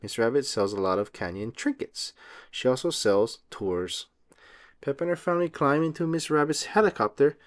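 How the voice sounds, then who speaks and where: A man reading a children's picture book aloud as an expressive storyteller, drawing out words for effect. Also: mid-range voice, normal speed. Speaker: read-aloud host.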